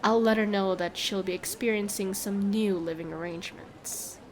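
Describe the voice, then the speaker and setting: A woman's voice: mid-range voice, steady tone, moderate pace, natural voice, rough recording. A woman playing a smug, haggling female merchant with glib, theatrical sales patter in a fantasy audio drama. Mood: smug, wheedling. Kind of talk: scripted fantasy audio roleplay.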